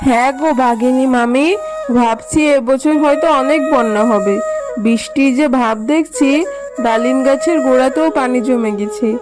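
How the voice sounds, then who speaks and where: A caring, practical mother fox from a children's cartoon, played by a woman. The voice is high and motherly, explaining things earnestly. Music plays clearly underneath. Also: moderate pace, close to an everyday voice.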